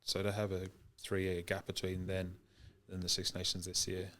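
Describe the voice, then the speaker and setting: A young man from Wales speaks in a mixed Welsh and New Zealand accent, his voice low and deep. His delivery stays flat and even as he pauses, restarts and fills gaps with ums while answering interview questions. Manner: hesitant, many ums and restarts. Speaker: guest, professional rugby player. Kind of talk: podcast interview.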